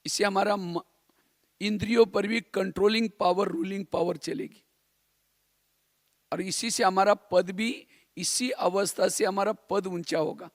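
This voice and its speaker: A man's voice: sits high, steady tone, a little slow, dry recording. A man from India giving a spiritual class in a didactic way, repeating phrases and posing rhetorical questions. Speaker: spiritual teacher.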